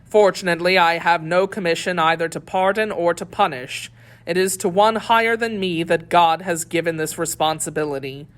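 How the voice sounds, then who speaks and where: A woman's voice: fairly low pitch, fairly even intonation, normal speed, natural voice. A woman reading the part of a stern, devout male officer in a formal, solemn audiobook delivery. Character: stern, pious young male Puritan officer. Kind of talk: dramatic audiobook character reading.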